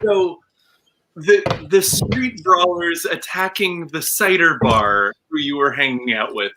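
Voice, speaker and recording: A man's voice: fairly high pitch, takes it slowly. A man chatting casually as a commentator in a mocking, sarcastic way. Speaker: panel commentator. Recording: clearly noisy background.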